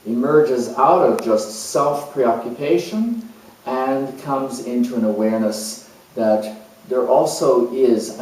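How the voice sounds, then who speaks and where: A man speaking as an astrologer, explaining a topic to an audience in a measured way, recorded with the mic far off in an echoey room. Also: medium pitch, darkish tone.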